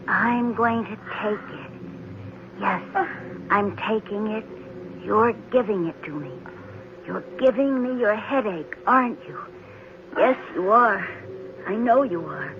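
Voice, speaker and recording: Eerie orphan boy for 1970s radio drama: earnest, deliberate, childlike; dull, noisy vintage recording. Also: fairly high pitch. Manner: simple, deliberate, childlike phrasing.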